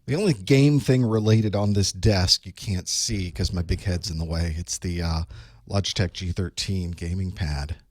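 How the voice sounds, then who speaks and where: A man with a cold speaks in a hoarse, rather low voice. He chats wryly and half to himself as he gets a live stream ready. The sound is dry and close, with no room echo. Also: moderate pace, darkish tone. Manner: chatty, wry, talks to himself while setting up. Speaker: online course instructor. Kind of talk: casual pre-show chat to a live stream. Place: small studio desk.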